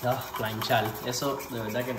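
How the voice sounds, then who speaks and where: A man's voice: mid-range voice, little pitch movement, normal speed. Male vlogger, twenties, Cuban accent, informal and playful, recorded in an echoey home kitchen. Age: 20s. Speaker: cooking vlogger. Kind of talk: casual question-and-answer vlog. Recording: echoey home kitchen, faint background noise.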